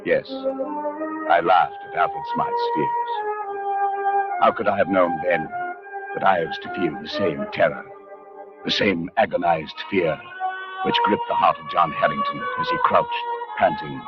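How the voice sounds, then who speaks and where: A man's voice: low-pitched, wildly swooping pitch, measured pace, pauses often, heavily acted. An actor playing a scholarly, sceptical man haunted by dread, acting and narrating in a 1940s radio drama. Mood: haunted, dramatic. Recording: muffled old radio recording, music behind.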